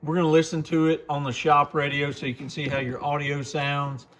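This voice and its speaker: A man's voice: fairly high pitch, little pitch movement, a little fast, fairly bright tone. A man giving a casual, unscripted product walkthrough in an informal, explanatory way as host, recorded in a shop. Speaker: radio shop host demonstrating a CB radio. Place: radio shop. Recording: clear background noise with radio clicks.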